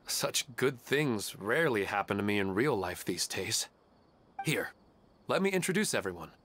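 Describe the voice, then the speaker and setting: A man playing a kindly, wistful father in a video game, earnest and gentle. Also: lowish voice, sing-song, normal speed. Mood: pleased, wistful. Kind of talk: game voice acting.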